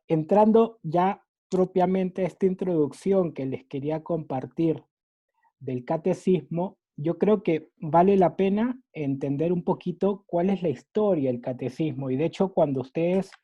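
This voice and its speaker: Man, peruvian, mixed latin american accent, earnest lecturing, fillers and restarts, husky, muffled recording. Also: on the high side. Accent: mixed Latin American Spanish, Peruvian base. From Peru. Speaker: catechism teacher. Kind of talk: catechesis lecture. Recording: dull lo-fi recording.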